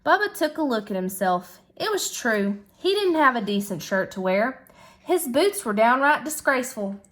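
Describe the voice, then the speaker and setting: Female storybook reader, teacherly, high voice with very lively intonation. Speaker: schoolteacher reading aloud. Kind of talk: animated children's storybook narration.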